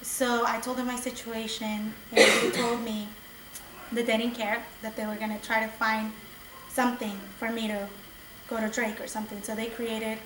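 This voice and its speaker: A young woman from El Salvador gives a personal testimony to a campus meeting, earnest and conversational, stumbling into restarts. Her voice sits a little high but stays fairly level. She is picked up from across an echoey room. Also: flat delivery, normal speed, heavy background noise. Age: young adult. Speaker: student speaker at a community meeting. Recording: echoey room recorded from a distance.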